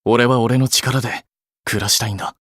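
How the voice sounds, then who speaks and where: A man's voice: mid-range voice, steady tone, moderate pace, fairly bright tone, no echo, studio quality, close to an everyday voice. A man voicing an earnest, blunt but caring young idol in an anime game, speaking casually and a little awkwardly. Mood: earnest, serious. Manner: casual clipped polite speech, flustered hesitations.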